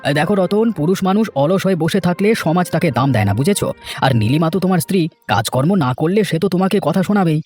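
A sensible, kindly villager, played by a man in a children's cartoon. He reasons and advises at a quick pace in a fairly high voice over faint music. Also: animated, darkish tone. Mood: concerned, advising. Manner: admonishing, reasoning.

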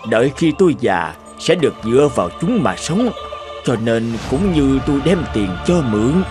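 An actor playing a poor but clever graveyard keeper in a cartoon, explaining patiently and respectfully. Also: male voice, mid-range voice, sing-song, moderate pace, natural voice. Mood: earnest, explaining. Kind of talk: dubbed cartoon fairy tale acting. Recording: music behind the voice.

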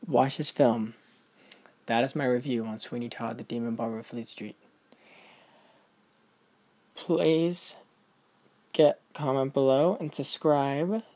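A man's voice: mid-range voice, slow, pauses often, dark tone. A man giving a casual film review in a vlog, emphatic and gushing, often restarting his sentences.

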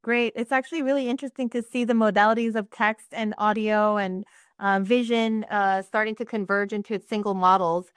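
A woman's voice: medium pitch, fairly even intonation, brisk, smooth, no echo, clean studio sound. A woman in her thirties hosting a video call interview, reacting eagerly and asking direct questions. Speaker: host, moderator. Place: indoors at home, virtual background. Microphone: webcam or laptop mic on a video call.

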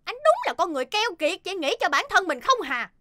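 A woman's voice: extremely high pitch, big pitch swings, brisk, bright, forceful tone, no room sound, clean studio sound, exaggerated voice. A woman voicing a melodramatic, indignant young wife in a comedy cartoon, full of exclamations. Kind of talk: animated comedy cartoon dub.

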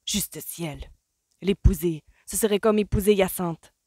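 A hot-tempered young gentleman barks his lines in forceful outbursts, as a woman reading the book puts him on. The sound is dry and close. It is a storybook voice with raised, sharp delivery. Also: deep voice, normal speed, dry recording. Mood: angry.

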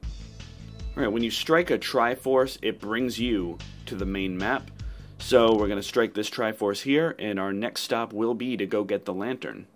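A man's voice: fairly low pitch, a little slow. A man hosting a video game walkthrough in a casual, explanatory commentary style. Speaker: gamer, walkthrough host. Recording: voice-over with game music behind.

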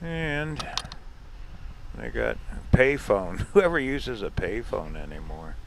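A man's voice: mid-range voice, expressive, slow, creaky, a little hiss or hum. A man narrating a casual travel vlog to the camera, chatty and chuckling often, recorded outdoors and in his car on a camera's built-in mic. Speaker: RV travel vlogger.

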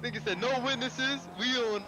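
A man's voice: very high-pitched, normal speed, noisy background. A young man bantering in character in an online roleplay game, using slang and swearing casually. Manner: slangy, profane. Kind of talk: casual in-character street banter during gameplay.

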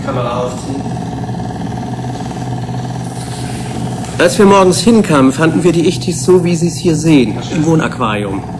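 An actor playing a defensive, grieving research psychologist in a German radio drama, explaining in a measured way. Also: male voice, on the high side, animated, very noisy, lightly acted. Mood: subdued, grave.

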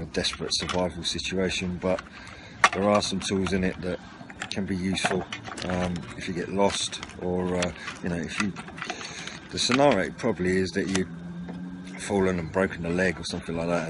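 Man, deep voice, casual explainer, hesitant and meandering, outdoor camera mic, noticeable noise. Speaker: video channel host. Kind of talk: informal product run-through to camera. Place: outdoors at a garden table. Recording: noisy outdoor home recording.

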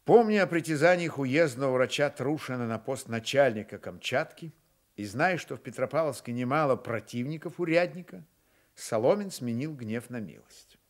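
An actor narrating a dramatised historical novel for radio, measured and wry, with deadpan irony. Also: male voice, mid-range voice, lightly acted. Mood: wry narration.

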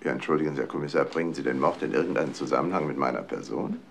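An actor playing a guarded, indignant middle-aged doctor under suspicion in a German crime radio drama, polite but hesitant and evasive. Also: male voice, extremely low pitch, rapid-fire, vocal fry, slight background noise. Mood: uneasy, evasive.

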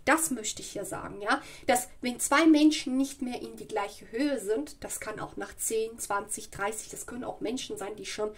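A woman with a slight cold giving a spoken tarot reading at home, explaining slowly and often checking in with "ja" and "okay". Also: a little high, breathy edge. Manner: slow, searching and explanatory, with frequent "ja" and "okay". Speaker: tarot reader. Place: home room with a tarot table.

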